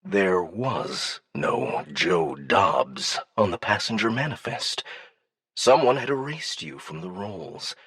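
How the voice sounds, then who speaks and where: A man voicing a cunning alien dignitary in an audiobook, probing with languid, deliberate menace. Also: on the low side, expressive, a bit bright, slightly rough, no echo, studio quality, exaggerated voice. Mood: veiled threat.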